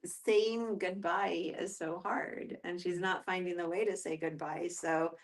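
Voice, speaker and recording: A woman in a casual group discussion, talking haltingly and voicing other people's imagined thoughts. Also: fairly low pitch, steady tone. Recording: voice picked up from a distance.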